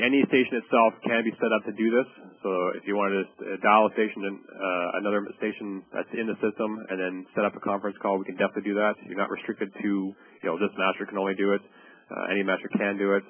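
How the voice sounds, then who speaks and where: A man giving a product training presentation over slides, explaining in a loosely conversational way. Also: lowish voice. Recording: tinny, phone-like narrow band.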